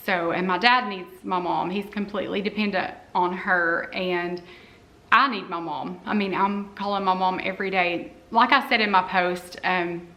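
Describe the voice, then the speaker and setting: Woman, forties, vlogger, casual talk to camera, earnest, rambling, bright forceful voice. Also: fairly low pitch, fairly even intonation, moderate pace. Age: 40s. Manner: earnest and heartfelt, rambling with frequent "um"s. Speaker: cleaning vlogger.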